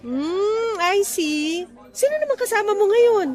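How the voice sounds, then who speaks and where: An actress playing a cheerful, sociable office woman meeting her high school sweetheart in a Filipino radio drama, with a Filipino accent. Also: animated, a little slow, a faint crowd. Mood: excited, friendly.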